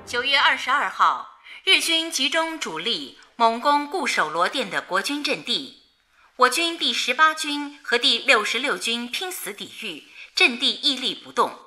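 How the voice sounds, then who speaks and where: An actress playing a solemn wartime radio announcer, reading bulletins in a grave, declamatory, emphatic manner in a radio drama. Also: female voice, a little high, very animated, a little slow, heavily acted. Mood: grave, urgent. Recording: loud music behind.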